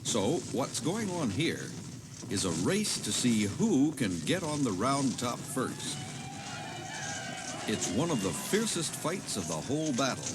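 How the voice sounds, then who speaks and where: A man explaining a battle as a history tour commentator, in a conversational, dramatized style. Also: mid-range voice. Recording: noisy old cassette tape.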